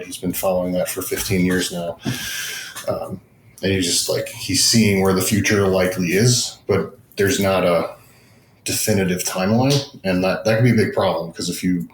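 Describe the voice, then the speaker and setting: Male, low register, informal business explainer who rephrases often; roomy sound with slight noise. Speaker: business team leader as guest expert. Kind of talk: casual explanatory business discussion. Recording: echoey room, faint background noise.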